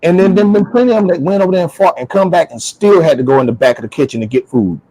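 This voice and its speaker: A man's voice: on the high side, very fast, mellow, dry recording, poor audio. A man talking casually and with strong opinions, emphatic and repeating phrases for stress. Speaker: talk show speaker.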